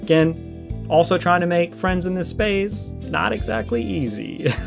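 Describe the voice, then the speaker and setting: A man's voice: high-pitched, animated, slow pace, creaky. A man giving conversational response commentary, arguing emphatically and repeating his points for stress. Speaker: video creator and host. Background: music with plucked guitar.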